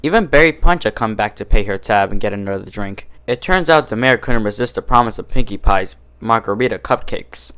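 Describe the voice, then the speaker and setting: A man's voice: on the low side, lively intonation, a little fast, few pauses, a bit bright. A man reading a story aloud as scripted narration. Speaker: fan fiction reader.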